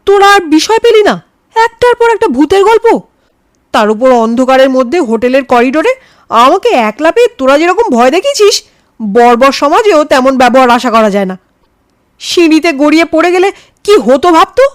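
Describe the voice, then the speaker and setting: A woman's voice: high voice, very animated, moderate pace, pitched above the performer's own voice. A woman voicing a nervous, ghost-fearing young man who complains and hesitates in an audio story. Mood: aggrieved. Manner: hesitant, aggrieved.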